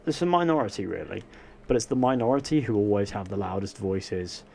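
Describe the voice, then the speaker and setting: Young man, low-pitched voice, rambling livestream chat, joking asides, faint background ambience. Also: animated, a little fast, gravelly, a little mellow, a little hiss or hum. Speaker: gaming livestreamer. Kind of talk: casual chat while playing a game live.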